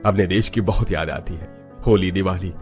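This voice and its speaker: Aging cartoon father, played by a man: low voice, homesick and stern head of the house, music behind. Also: moderate pace, lightly acted. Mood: wistful. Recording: background music under the voice.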